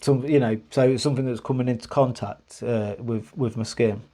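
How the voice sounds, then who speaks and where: A man's voice: mid-range voice, fairly even intonation, moderate pace, warm tone. A man telling a personal story to camera in a rambling, hesitant way, with many restarts, and earnest frustration when he recounts his grievances. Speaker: vlogger.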